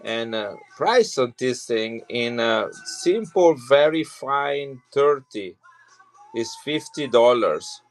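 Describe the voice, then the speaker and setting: A man's voice: mid-range voice, animated, slow, soft background music. Chatty man selling coins. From Italy. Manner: chatty and rambling, with frequent restarts. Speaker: coin seller on a live stream. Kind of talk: casual live sales chat.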